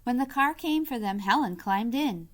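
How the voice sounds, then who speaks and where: A woman reading a children's picture book aloud like a teacher, with expressive, deliberate phrasing and short asides to young listeners. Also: fairly high pitch. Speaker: read-aloud storyteller, teacher-like.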